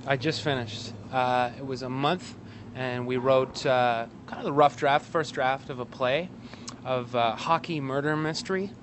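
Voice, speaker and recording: Guy being interviewed outside in an alley, kinda halting and wry, mid-pitched voice, some traffic noise. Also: steady tone. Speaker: guest, actor and playwright. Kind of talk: casual interview chat. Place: outdoors in a city alley. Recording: street noise, traffic outdoors.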